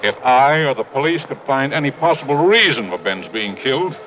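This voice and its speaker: An American actor playing a blustering, big-hearted older mine owner in a 1950s radio drama, gruff, emphatic and booming. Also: male voice, lively intonation, a little fast, close to an everyday voice. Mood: grave, baffled. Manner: emphatic, gruff, swinging from bellowing to grave. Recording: muffled, noisy old radio recording.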